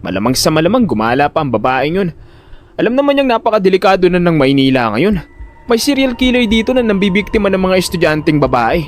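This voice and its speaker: A man's voice: sing-song, fast, soft background music. A man playing an anxious, protective older brother who tells his suspense story in first person, with dramatic narration and acted dialogue. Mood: worried. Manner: dramatic storytelling, exasperated and high-pitched in dialogue.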